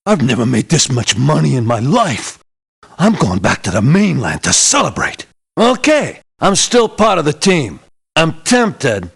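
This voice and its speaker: Sardonic ex-cop mercenary, lively pitch. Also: male voice, unhurried, vocal fry, husky, exaggerated voice. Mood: pleased. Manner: sardonic, tough-talking. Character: gruff, sardonic ex-policeman mercenary man, streetwise and stubborn. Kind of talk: video game character voice acting.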